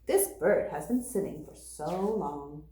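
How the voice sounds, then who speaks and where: A woman teacher reading a picture book aloud to young children in an expressive, deliberate way. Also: sits low, background noise. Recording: roomy, echoing sound.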